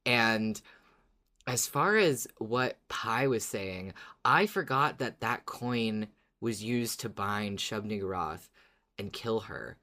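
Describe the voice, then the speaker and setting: A man's voice: lowish voice, animated, slow, pushed and bright. A man co-hosting a podcast, chatting casually about a fiction show in a playful, teasing manner. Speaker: podcast co-host.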